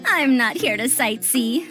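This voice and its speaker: Self-important, youthful-sounding centenarian scholar, high-pitched. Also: female voice, huge pitch swings, slow, sharp tone, heavily acted. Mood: smug, pleased. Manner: haughty, theatrical. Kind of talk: video game cutscene acting. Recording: clear music behind voice.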